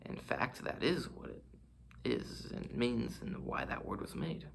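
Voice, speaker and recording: A man's voice: medium pitch, huge pitch swings, a little slow, vocal fry, slight background noise. A man vlogging about fitness, talking to a camera in front of him in a rambling, self-mocking way as he shows off poses. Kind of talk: casual rambling monologue to camera.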